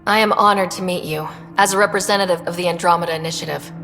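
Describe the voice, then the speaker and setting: A woman's voice: fairly low pitch, fairly even intonation, quick pace, a bit bright. Reverberant, confident young woman explorer. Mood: earnest. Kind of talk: video game dialogue acting. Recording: long reverberant space, faint music.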